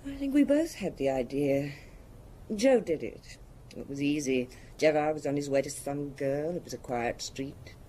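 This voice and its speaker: Mellow-voiced, world-weary woman of forty. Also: deep voice, expressive, moderate pace, a little hiss or hum. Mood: resigned, bitter. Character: weary, bitter wealthy murderess, hard-drinking, around forty. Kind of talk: radio drama acting.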